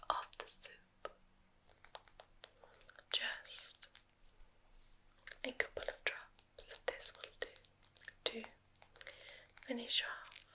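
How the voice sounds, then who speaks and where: A woman voicing a gently sinister female forest witch in an ASMR roleplay, whispering softly with teasing dark humor. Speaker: ASMR creator.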